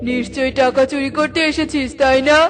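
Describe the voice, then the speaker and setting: Casting: man for envious, accusing cartoon bird neighbour, unusually high and fairly monotone. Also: brisk. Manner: accusing, grumbling. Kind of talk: children's cartoon dialogue.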